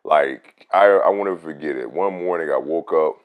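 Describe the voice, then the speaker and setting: A man with a very deep, rich voice, a radio host telling a casual story about his own voice. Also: normal speed. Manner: animated, swings loud on emphasis.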